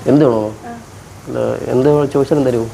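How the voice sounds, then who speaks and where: Man, quick pace, playful teasing, insistent repeated questions, casual prank chat, clear music behind. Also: mid-range voice, fairly even intonation, poor audio. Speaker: prank video host.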